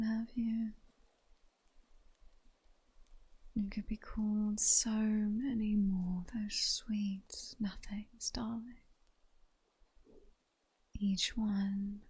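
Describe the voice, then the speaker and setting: A seductive vampire queen, acted out by a woman in whispered ASMR roleplay. Her British-accented voice is very breathy and soft, with a dark, mellow tone. She teases and commands at a slow, sultry pace. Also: medium pitch, level tone. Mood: praising, seductive. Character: seductive, mocking vampire queen toying with her captured hunter.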